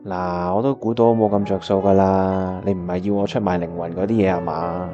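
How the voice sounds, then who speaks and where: A man's voice: sits low, little pitch movement, brisk, lo-fi sound, close to an everyday voice. A man with a Hong Kong Cantonese accent acting a disheartened, wistful time traveller who narrates his own radio drama. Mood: weary. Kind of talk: first-person radio drama narration and dialogue. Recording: music playing behind the voice.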